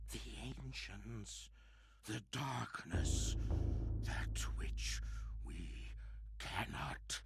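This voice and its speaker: A man's voice: fairly low pitch, noisy background, full character voice. An actor playing a deranged, terrified man raving theatrically about monsters in a gothic horror game, swinging between whispers and shrieks. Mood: ominous, hushed.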